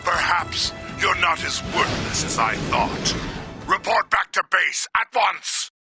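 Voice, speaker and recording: A gravelly robot tyrant for a video game, pitched fairly high and heavy with rasp. He commands with grandiose contempt and menace, under loud music. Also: big pitch swings, slow pace, heavily acted. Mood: scornful. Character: tyrannical male robot warlord, imperious and menacing. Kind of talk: video game cutscene acting.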